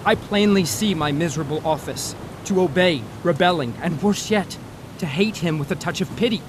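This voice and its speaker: A man plays a dutiful, conflicted first mate in a seafaring audio drama. His voice is high for a man, earnest and anguished, with archaic wording. A clear rumbling ship noise lies behind it. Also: moderate pace, close to an everyday voice. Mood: tormented. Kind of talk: theatrical audio drama acting.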